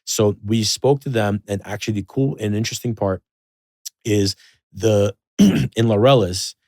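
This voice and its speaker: Man, casual podcast talk, rambling with fillers, lowish voice, dry sound. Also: measured pace, no room sound. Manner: rambling, filler-heavy, animated storytelling.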